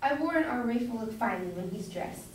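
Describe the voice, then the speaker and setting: A woman's voice: a little high, expressive, quick pace, natural voice. A woman playing an eager, chatty, naive grocer's wife in an amateur staged reading of an old comedy, gushing and insistent. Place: indoor room at a college, far from mic. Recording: distant echoey room, faint noise.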